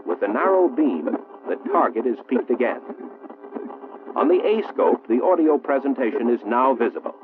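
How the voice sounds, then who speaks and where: An American man reading a 1960s military training film narration in a formal, measured, precisely enunciated manner. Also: medium pitch, animated, background noise. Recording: muffled, roomy 1960s film soundtrack, phone-like.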